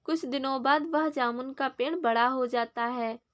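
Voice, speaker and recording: A woman's voice: high-pitched, unhurried. A woman narrating a scripted moral story for children in storybook style.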